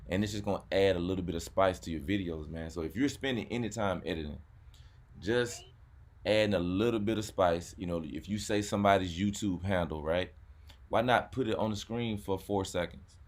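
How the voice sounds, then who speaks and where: A man hosting a car channel, chatting casually, repeating words and saying "man" often. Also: sits low. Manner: laid-back, repeats words, says "man" a lot. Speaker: YouTube car channel host. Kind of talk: casual chat.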